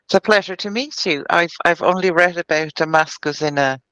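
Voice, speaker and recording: A woman playing a tabletop roleplay game on a video call, speaking casually with halting, hesitant phrasing. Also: on the low side, a bit bright, smooth, dry recording. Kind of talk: casual in-character introduction.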